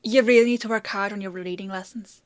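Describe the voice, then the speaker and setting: A woman's voice: mid-range voice, steady tone, fast, dry recording, natural voice. A woman playing a bossy, teasing female apothecary in a fantasy audio roleplay, with a chatty, sardonic, playfully scolding manner.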